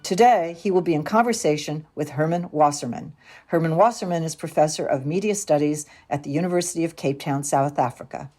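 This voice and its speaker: A woman announcer reading a scripted podcast introduction slowly and formally. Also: low voice, mellow. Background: music.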